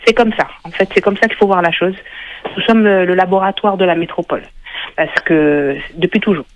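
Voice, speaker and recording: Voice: medium pitch, steady tone, fairly quick, a little hiss or hum. A caller phoning into a radio show, earnestly explaining a view. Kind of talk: phone-in comment. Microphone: phone. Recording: tinny phone line.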